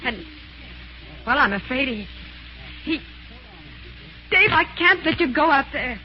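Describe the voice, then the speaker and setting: A highly dramatic radio-mystery wife. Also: female voice, expressive, a little slow. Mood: anxious pleading. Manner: urgent, dramatic. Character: anxious, emotional wife, melodramatic and insistent. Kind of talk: old-time American radio mystery acting. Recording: muffled, faintly noisy vintage radio.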